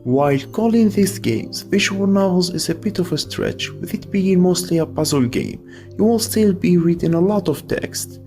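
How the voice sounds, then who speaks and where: A man with a fairly high, creaky voice narrates a scripted video essay. He slips in casual asides as host, with faint music underneath. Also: animated, moderate pace, darkish tone.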